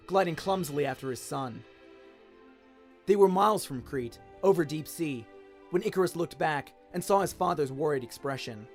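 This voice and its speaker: A man narrating a scripted story dramatically, in the manner of an audio drama. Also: a little high. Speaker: narrator, voice actor. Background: music and sound effects.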